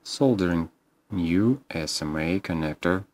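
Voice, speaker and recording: A man's voice: low-pitched, expressive, unhurried, darkish tone, dry recording. A man narrating a scripted how-to as a repair technician, in terse step-by-step instructions.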